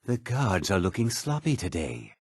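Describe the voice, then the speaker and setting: Casting: blunt, haughty fantasy game man; raspy low voice, dry close recording. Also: wildly swooping pitch, moderate pace, no room sound, full character voice. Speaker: video game voice actor. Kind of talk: fantasy game character dialogue.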